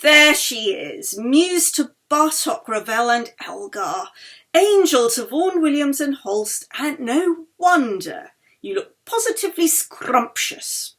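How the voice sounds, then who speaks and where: A woman voicing a hearty, teasing 1930s gentleman host in a storybook, with booming, drawn-out, mock-ceremonial delivery. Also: lively intonation, exaggerated voice. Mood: jovial, flattering.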